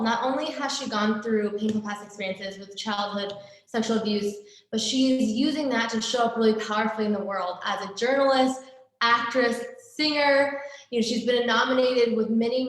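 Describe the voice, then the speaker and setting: A woman's voice: a little high, fairly bright tone. A woman hosting a live online interview show, introducing her guest with effusive enthusiasm over a video call. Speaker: interview host. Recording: very echoey room.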